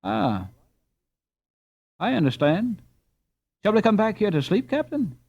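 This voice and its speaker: Cheeky storybook lad, voiced by a man: teasing, higher-pitched, very lively intonation, slightly muffled sound. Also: a little slow, heavily acted. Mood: mocking. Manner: mocking, teasing. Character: mocking, mischievous boy, cheeky and boisterous.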